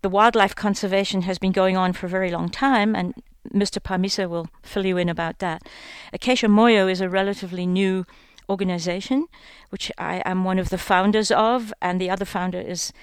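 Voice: mid-range voice, steady tone, fairly quick, smooth, no echo, clean studio sound. An interview guest from Kenya who founded a conservation organization, explaining at length in an articulate, flowing way.

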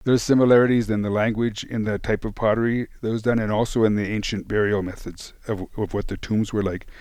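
Radio guest, man, lower pitch, informative explainer, dry sound. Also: little pitch movement, fairly quick, a little mellow, dry recording. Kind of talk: radio interview.

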